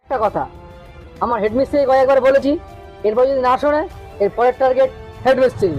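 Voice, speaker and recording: Casting: male actor as a coarse, aggrieved building worker for cartoon comedy, high voice, breakneck ranting. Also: fast, lo-fi sound, over loud music. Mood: threatening. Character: angry, defiant, foul-mouthed male construction labourer.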